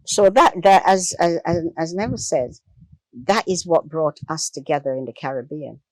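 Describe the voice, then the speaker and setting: A woman's voice: low-pitched, normal speed, a little mellow, no room sound. A woman speaking as an interview guest, emphatic and impassioned, repeating phrases for weight. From Jamaica. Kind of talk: impassioned discussion.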